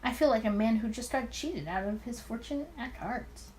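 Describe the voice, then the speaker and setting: A woman's voice: a little high, moderate pace, a little mellow, a little hiss or hum, natural voice. A woman voicing a cautious, baffled male police detective from the 1920s in a visual novel. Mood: stunned, curious.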